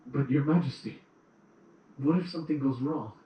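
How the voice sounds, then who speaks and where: A man's voice: medium pitch, normal speed, highs cut off, lightly acted. A man playing a timid, doubting soldier of an evil queen in an audio drama, hesitant and apologetic. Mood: nervous, doubting. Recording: roomy, distant playback with faint noise.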